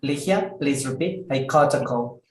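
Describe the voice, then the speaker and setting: A man teaching an English lesson to a class, speaking slowly and carefully and repeating words so students can follow. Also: medium pitch. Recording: echoey room.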